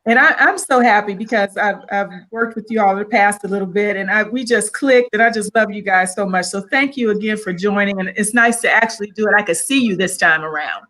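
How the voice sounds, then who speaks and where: A woman's voice: mid-range voice, fairly quick, hardly any pauses, sharp tone, low-quality recording. A woman hosting a live online interview, upbeat, greeting viewers and guiding the conversation. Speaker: host.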